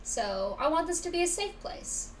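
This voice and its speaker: A woman's voice: extremely high pitch, sing-song, moderate pace. A woman chatting casually as she hosts a live game stream. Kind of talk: casual livestream chat. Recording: distant mic, faint background noise.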